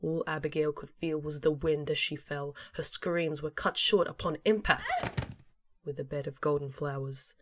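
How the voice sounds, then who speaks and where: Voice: mid-range voice, normal speed, fairly bright tone, slight background noise. A narrator reading a scripted story for a fan audio drama.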